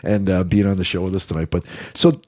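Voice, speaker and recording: A man co-hosting a podcast, chatty and quick to laugh as he leads a casual interview. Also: deep voice, steady tone, dry recording, clean studio sound. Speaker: podcast co-host. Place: studio.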